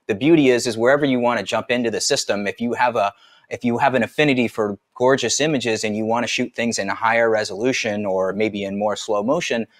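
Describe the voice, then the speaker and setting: A man in his forties giving an energetic, conversational product demonstration from a home studio over a video call. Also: medium pitch. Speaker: camera company trainer.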